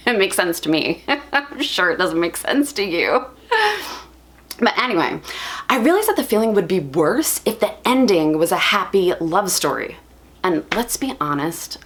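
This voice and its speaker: A woman's voice: fairly low pitch, fairly bright tone. A woman performing a dramatic monologue as a lovelorn young bookworm, confessional and self-deprecating, speaking with earnest emphasis. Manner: earnest, emphatic, halting with feeling.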